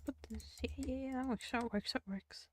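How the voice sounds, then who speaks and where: A young woman streamer with a croaky, sore voice, chatting casually and muttering to herself while she plays. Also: on the high side, a little fast, a little hiss or hum. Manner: rambling, self-mocking, mutters to herself. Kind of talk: casual livestream chat while gaming.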